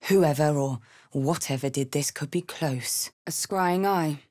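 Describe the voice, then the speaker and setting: A woman's voice: low voice, a little slow, darkish tone. A woman voicing a bold, blunt, battle-hardened tiefling warrior for a fantasy video game, with dramatic delivery. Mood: wary, matter-of-fact.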